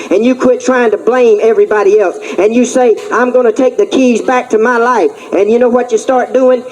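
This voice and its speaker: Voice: medium pitch, darkish tone, poor audio. A preacher delivering a fervent sermon, emphatic and repetitive, with rousing call-outs to the congregation.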